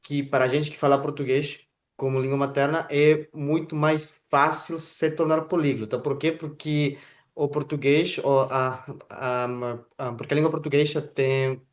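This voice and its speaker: A man whose first language is Spanish hosts an interview in Portuguese, speaking haltingly with many filler sounds and restarts. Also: fairly high pitch, flat delivery. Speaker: interview host.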